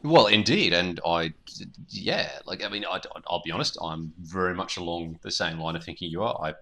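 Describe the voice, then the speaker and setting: A man's voice: sits low, normal speed, pushed and bright. A man co-hosting a podcast in casual, wry, conversational chat. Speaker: podcast co-host.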